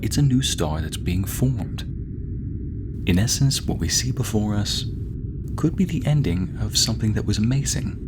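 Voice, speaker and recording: A man voicing a courteous, soothing American spaceship AI assistant in a measured, formal ASMR roleplay narration. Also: lightly acted. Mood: gently fascinated. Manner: polite, measured, formal announcements with gentle explanations. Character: courteous, soothing male spaceship AI assistant, eager fact-sharer. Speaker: ship's AI guide and narrator. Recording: steady rumbling spaceship ambience behind voice. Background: low engine rumble ambience.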